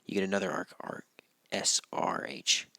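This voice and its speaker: A man teaching a geometry lesson, losing his voice, talking in a relaxed way and often checking in with "right?". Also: sits low, normal speed, gravelly, no echo, studio quality. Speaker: math teacher. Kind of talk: recorded classroom walkthrough.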